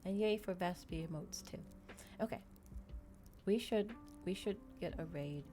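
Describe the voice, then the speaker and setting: A woman's voice: fairly low pitch, a little slow, warm tone, slight background noise. A woman hosting a livestream, chatting casually with viewers in a rambling way, often repeating and restating her phrases. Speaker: VTuber livestream host.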